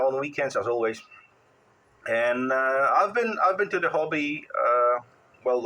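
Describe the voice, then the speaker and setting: A man in his forties with a Polish accent, chatting casually as a ham radio operator in a halting way, often repeating words. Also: medium pitch, bright, forceful tone, low-quality recording. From Poland. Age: 40s. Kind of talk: casual radio contact chat.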